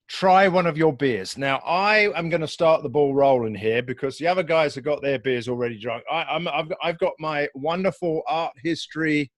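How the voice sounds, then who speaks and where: A man's voice: fairly high pitch, dry recording. A British man hosting a casual video-call happy hour, chatty and jokey, with frequent fillers and restarts. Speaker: host.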